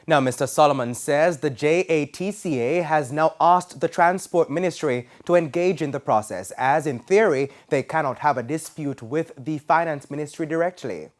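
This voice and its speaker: A man with a Jamaican accent reading scripted business news in a formal, measured broadcast delivery. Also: on the high side. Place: TV news studio.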